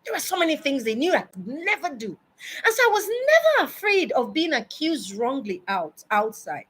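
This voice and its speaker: A Nigerian-accented woman in her early forties giving a personal advice monologue, emphatic and deliberate, repeating words for stress. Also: high voice, wildly swooping pitch, a bit bright. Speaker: speaker addressing parents.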